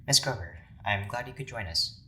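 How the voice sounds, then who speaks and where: An actor playing a stern, cautious doctor in an audio drama, speaking formally with dry, measured authority. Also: medium pitch, animated, sharp tone, a little hiss or hum. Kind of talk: scripted audio drama acting.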